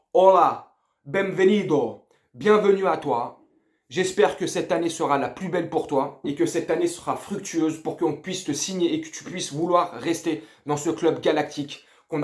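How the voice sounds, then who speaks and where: A man with a fairly high voice talks as a football video host in a roomy, echoing space. His delivery is casual and opinionated, full of slang, with emphatic enthusiasm for the game.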